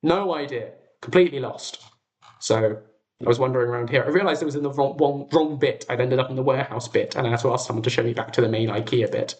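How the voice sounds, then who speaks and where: A man's voice: medium pitch, fast. A young British man hosting a livestream, chatting casually to viewers in an enthusiastic, whimsical way. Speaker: livestream host.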